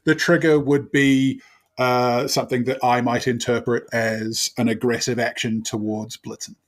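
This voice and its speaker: A man with a medium-pitched voice, playing a tabletop role-playing game. He talks casually and improvises as he goes, with a wry, mock-formal turn of phrase. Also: little pitch movement, moderate pace. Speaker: tabletop RPG player.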